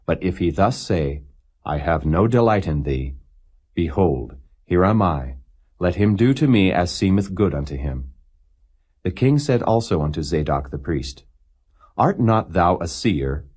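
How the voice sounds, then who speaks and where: Male scripture narrator: deep register, lively intonation, solemn and measured, no character acting. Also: husky. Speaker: Bible reader. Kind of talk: non-dramatized scripture narration.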